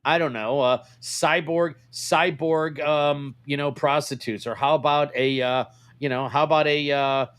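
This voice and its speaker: A man's voice: fairly high pitch, steady tone, a little slow, fairly bright tone, clean tone. A man co-hosting a casual comedy podcast, bantering in a blunt, crude, wisecracking way. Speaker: podcast co-host.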